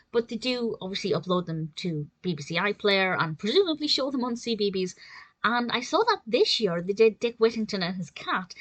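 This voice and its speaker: A woman's voice: fairly high pitch, no echo, low-quality recording. A woman giving a casual, enthusiastic spoken review in a chatty manner.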